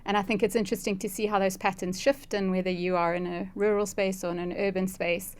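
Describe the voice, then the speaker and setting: A woman's voice: medium pitch, little pitch movement, fast talker, clean tone. A South African woman giving thoughtful, earnest answers as an interview guest. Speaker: interview guest, conservation ecologist.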